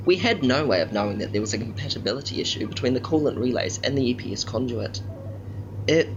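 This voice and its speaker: The recording is dull and clearly noisy. An actor plays a male starship engineering officer with a mid-pitched voice, reporting in a formal, businesslike technical manner for a sci-fi audio drama. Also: close to an everyday voice. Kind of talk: science fiction audio drama acting.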